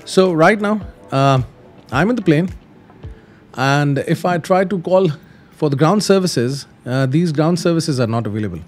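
A man's voice: fairly high pitch, expressive, measured pace, faint music behind. A man hosting a tutorial, explaining things casually step by step and repeating simple instructions. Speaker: host of flight simulator tutorials.

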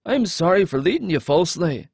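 A man's voice: on the high side, very animated, fairly quick, creaky, a little mellow, slightly rough, no room sound, clean studio sound, heavily acted. A man voicing a frightened, remorseful male deserter in an audiobook, earnest and pleading. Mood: remorseful, grave.

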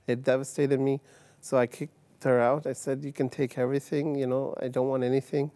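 A man from Uganda in his fifties, telling his life story as a guest on a TV studio set, halting with many fillers and restarts. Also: medium pitch, level tone, warm tone, no echo. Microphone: lapel mic.

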